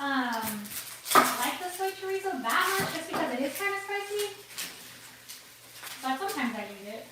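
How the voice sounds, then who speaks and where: Chatty woman cooking in an echoey kitchen. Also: sits high. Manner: chatty, rambling, laughs often, sniffles. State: runny nose, sniffling. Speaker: cooking livestream host. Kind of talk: casual cooking livestream chat. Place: home kitchen. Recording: echoey kitchen, far from mic, background noise.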